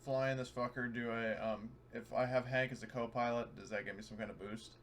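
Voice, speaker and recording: A man's voice: medium pitch, fairly even intonation, fairly quick, a little hiss or hum. A man chatting casually as a tabletop game player, irreverent and prone to swearing. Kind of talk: casual chat.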